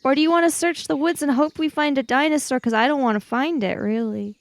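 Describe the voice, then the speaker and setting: A woman's voice: sits high, a little fast, no echo. A woman playing a sensible kid in improvised tabletop roleplay, reasoning aloud in a questioning, matter-of-fact way. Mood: uncertain, practical.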